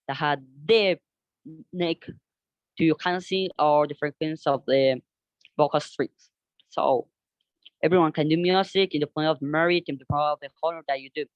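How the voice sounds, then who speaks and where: A young man with a Colombian Spanish accent talks through his class podcast project, his voice sitting slightly high. He stumbles and restarts often, feeling for the right English words. The recording sounds dull and dry, close to the mic. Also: no echo. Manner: halting, searches for words, frequent restarts in hesitant English. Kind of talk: unscripted class presentation.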